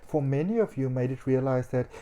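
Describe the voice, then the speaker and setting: A man talks through a tarot reading in a chatty, animated way, sprinkling in "um" and "you know." His voice is mellow and warm. Faint hiss sits underneath. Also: medium pitch, a little fast. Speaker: psychic tarot reader.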